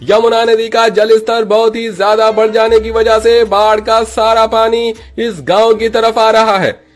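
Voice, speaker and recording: A loud village crier, put on by a man, declaiming an urgent public warning in a high, raised voice. It is storybook acting with music behind. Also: flat delivery, unhurried. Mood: urgent, proclaiming.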